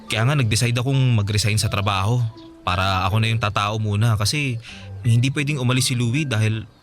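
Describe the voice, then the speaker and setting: An actor playing an earnest, sheepish boyfriend with big dreams in a radio drama. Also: male voice, lowish voice, fairly quick, soft background music, lightly acted. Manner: hesitant openings, earnest explaining. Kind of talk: radio drama acting, conversational.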